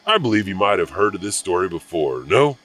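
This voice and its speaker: An actor playing a creepy caretaker who hesitantly and theatrically hosts a horror anthology of ghost tales. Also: male voice, low-pitched, sing-song, fairly quick, fairly bright tone, far from the mic, slight background noise. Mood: ominous, confiding. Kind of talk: horror anthology host narration.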